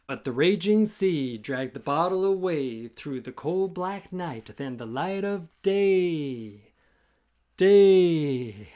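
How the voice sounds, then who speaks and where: Male children's author for storytime read-aloud. Unhurried, rhythmic, theatrical, speaking to kids. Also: sits high. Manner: theatrical, rhythmic, deliberate, addressing young listeners. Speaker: children's author reading own book.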